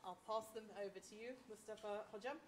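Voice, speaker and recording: A woman's voice: medium pitch, steady tone, quick pace, a little hiss or hum. Woman moderating a conference Q&A. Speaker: event moderator. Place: conference hall.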